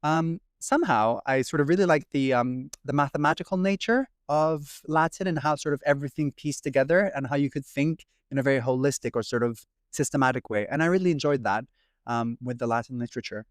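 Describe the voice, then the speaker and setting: A man's voice: medium pitch, a little fast, dry recording, studio quality. A young man with an American accent who grew up in Italy, answering interview questions as a classics researcher, fluent with frequent ums. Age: young adult. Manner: talks fluently with frequent ums and sort ofs. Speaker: guest, doctoral researcher in classics.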